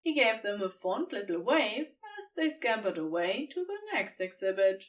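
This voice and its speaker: A woman's voice: mid-range voice, sing-song, moderate pace, far from the mic. A woman reading scripted story narration as the narrator of an audio fiction piece.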